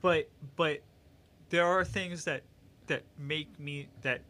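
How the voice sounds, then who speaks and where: A man's voice: fairly high pitch, fairly even intonation, takes it slowly, bright, forceful tone, slight background noise. A man in a casual chat, emotional and given to repeating words as he restarts his sentences.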